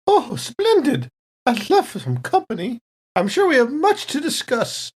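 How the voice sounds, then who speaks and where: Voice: sits high, huge pitch swings, a little slow, husky, heavily acted. Performer as an airy, genteel, oblivious spaceship passenger. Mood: blithe, cheerful. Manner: genteel, blithely chatty.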